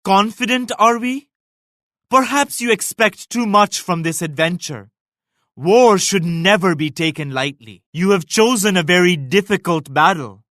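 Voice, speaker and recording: Proud pious emperor, video game character, slightly high male pitch, grave measured declamation, dry close sound. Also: a bit bright, no echo. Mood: condescending. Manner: grave, measured, lofty pronouncements. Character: proud, pious Mughal emperor, solemn and righteous.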